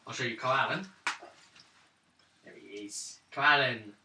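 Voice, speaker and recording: A man acting as game master, narrating a tabletop roleplay game in an offhand, halting way. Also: mid-range voice. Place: indoor room at gaming table. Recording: echoey room, distant mic, faint noise.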